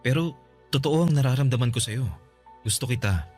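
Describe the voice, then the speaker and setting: A man's voice: mid-range voice, brisk, darkish tone, faint music behind, close to an everyday voice. An actor with a Filipino accent playing an earnest, protective young man smitten in a radio drama. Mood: earnest, lovestruck.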